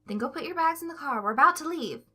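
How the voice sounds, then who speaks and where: A woman's voice: high voice, expressive, quick pace, bright, forceful tone, pitched above the performer's own voice. A woman voicing a kindly suburban mother, sweet and motherly, in a story reading. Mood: brisk.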